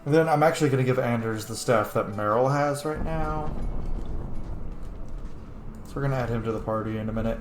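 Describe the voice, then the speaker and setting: A man's voice: mid-range voice, fairly quick, pauses often, over music. A man giving casual gameplay commentary, playfully talking back to the game's characters. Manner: playful, muttering responses to the game. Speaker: gamer playing along in character. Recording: echoey room with faint noise.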